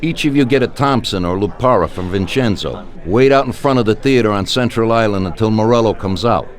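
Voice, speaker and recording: An actor playing a ruthless mafia boss in a video game, speaking with deliberate authority. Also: male voice, on the low side, natural voice. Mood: commanding. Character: commanding, ruthless mafia boss sending out his killers. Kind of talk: dramatic video game dialogue.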